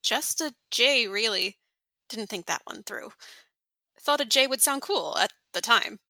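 A woman's voice: fairly high pitch, expressive, pushed and bright, no room sound, pitched above the performer's own voice, heavily acted. A woman reading an audiobook, voicing a nervous, fond male demon who halts and corrects himself as he talks. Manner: halting, fumbling, self-correcting. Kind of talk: fan audiobook character voice.

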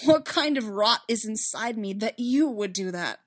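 A woman voicing an anguished, self-loathing young knight in a space fantasy story, halting and desperate. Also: a little high, expressive. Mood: bitter, upset.

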